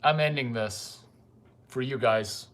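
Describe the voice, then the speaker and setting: Guy rambling crude stuff to camera, slow talker, swears a lot. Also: medium pitch, fairly bright tone. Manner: rambling and crude, with profane asides. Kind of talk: casual monologue.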